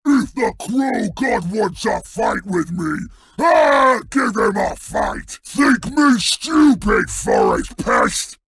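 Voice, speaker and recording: A man's voice: high-pitched, wildly swooping pitch, takes it slowly, hardly any pauses, fairly bright tone, dry recording, exaggerated voice. An actor voicing a hulking, boastful, ever-hungry male troll king for a fantasy video game, growling and bellowing in blunt, broken speech. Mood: boastful, menacing. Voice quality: gravelly, growling.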